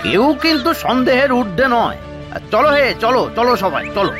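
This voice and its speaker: Cartoon village busybody male actor, unusually high voice, hesitant and distrustful, music behind. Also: big pitch swings, fairly quick. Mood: suspicious, evasive. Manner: hesitant, hemming, repetitive. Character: suspicious, evasive village neighbour man. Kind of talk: animated moral story acting.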